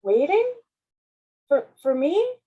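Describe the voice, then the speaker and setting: A woman's voice: high voice, very animated, slow, phone-quality sound, full character voice. A woman voicing an anxious, hesitant little fluffy cloud in a children's storybook. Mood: hesitant, troubled. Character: anxious, upset little fluffy cloud who fears change.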